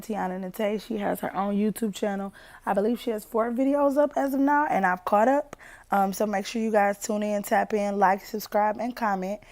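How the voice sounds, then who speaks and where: A young woman hosting her own podcast while sick, her voice hoarse and partly gone, chatting folksily with listeners she calls family. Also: fairly high pitch, darkish tone. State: partially lost voice from sickness. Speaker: podcast host. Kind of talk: casual motivational podcast talk.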